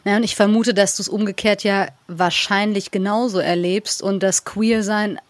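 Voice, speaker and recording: A woman's voice: mid-range voice, fairly even intonation, normal speed, no room sound. Dry-voiced woman podcast host. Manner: thinks aloud, searching phrasing. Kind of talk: casual podcast conversation.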